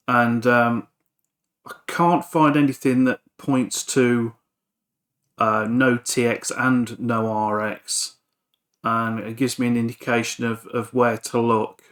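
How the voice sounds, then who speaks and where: A man casually talking through a repair in a workshop, hesitant, thinking aloud with frequent pauses. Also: medium pitch, fairly bright tone. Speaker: electronics hobbyist and repair vlogger. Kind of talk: casual workbench talk-through. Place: home workshop.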